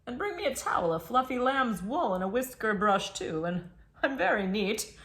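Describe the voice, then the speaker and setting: A woman's voice: on the high side, very animated, normal speed, a bit bright. A woman voicing a fussy, imperious raccoon in a children's storybook, theatrical and dainty. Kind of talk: children's storybook read-aloud.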